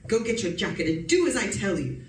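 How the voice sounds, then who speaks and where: A woman's voice: mid-range voice, big pitch swings, fairly quick, close to an everyday voice. Woman playing a domineering, swooping-voiced nagging wife. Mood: bossy. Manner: scolding, bossy, exclaiming. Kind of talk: old-time radio suspense acting. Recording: roomy, distant mic, faint noise.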